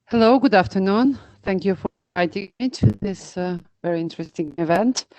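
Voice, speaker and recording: A woman's voice: mid-range voice, a little mellow, no echo, rough recording. Hesitant woman with an Albanian accent. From North Macedonia. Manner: hesitant, many pauses and fillers. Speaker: interpreter and business owner. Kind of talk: answering interview questions.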